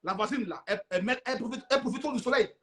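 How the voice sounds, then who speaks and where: A man's voice: very high voice, very fast, fairly bright tone. A man playing a demanding, jealous girlfriend who nags and repeats herself insistently in a sermon skit. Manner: repetitive, insistent. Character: demanding, jealous girlfriend nagging her sweetheart.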